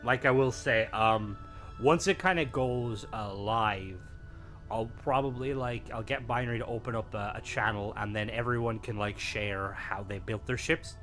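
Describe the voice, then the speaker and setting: A man's voice: lowish voice, moderate pace, a bit bright. A man hosting a live game stream, chatting casually and playfully with lots of fillers as he tours and rates builds. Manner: rambling, filler-heavy, playful. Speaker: streamer host. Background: faint game music.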